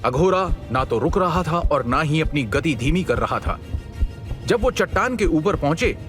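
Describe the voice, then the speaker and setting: A man tells a dramatic story as its narrator. His voice sits a little high, and he delivers the script in a measured, suspenseful way. Soft music plays underneath him. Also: expressive. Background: background music.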